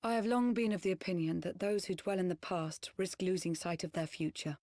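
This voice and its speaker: Woman voicing a serious loyal lady knight for a game, lowish voice, formal old-timey wording, dry sound. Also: steady tone, darkish tone, no echo, natural voice. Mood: firm resolve. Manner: formal, measured, archaic diction. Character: composed, earnest ex-spy woman, loyal knight. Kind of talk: dramatic video game cutscene acting.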